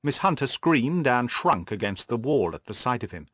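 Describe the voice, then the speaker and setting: A male narrator reads classic-style literary prose for an audiobook. His mid-pitched voice moves with very lively intonation, captured close and dry. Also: moderate pace, dry recording.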